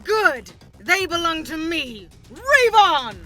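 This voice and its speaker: A woman's voice: extremely high pitch, sing-song, takes it slowly, sharp tone, heavily acted. A woman playing a shrieking, possessive, imperious alien tyrant. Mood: imperious, gloating. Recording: music behind the voice.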